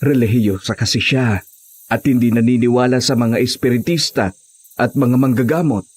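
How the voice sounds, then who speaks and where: Man playing a husky, earnest family man. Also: medium pitch, moderate pace, darkish tone, lightly acted. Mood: polite, grateful. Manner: respectful, earnest. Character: earnest, polite husband seeking a healer's help. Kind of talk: Tagalog horror audio drama acting.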